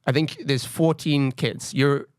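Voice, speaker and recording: A man's voice: mid-range voice, steady tone, takes it slowly, clean tone. A man hosting a podcast, asking questions in a curious, informal way, full of filler words. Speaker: podcast host. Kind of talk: casual interview questions.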